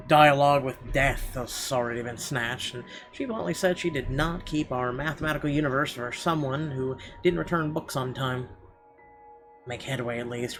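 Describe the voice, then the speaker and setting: A man hosting a playthrough, reading narration aloud and dropping in wry, sweary asides. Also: medium pitch. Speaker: let's play host. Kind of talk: reading game narration aloud with off-the-cuff comments. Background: game music.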